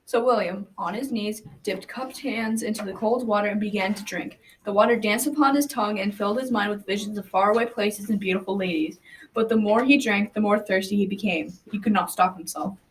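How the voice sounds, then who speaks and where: A woman's voice: medium pitch, fairly quick, close to an everyday voice. A young adolescent girl narrating a folktale as a grandfather in a scripted school radio drama. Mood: storytelling. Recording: faint noise, slightly distant microphone.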